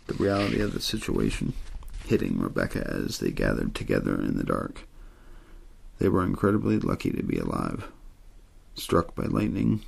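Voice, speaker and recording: Gravelly, monotone man reading a bedtime story. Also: a bass voice. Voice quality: husky, gravelly. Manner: plain, untheatrical, soothing reading. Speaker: audiobook-style story reader. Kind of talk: ASMR story reading, scripted narration.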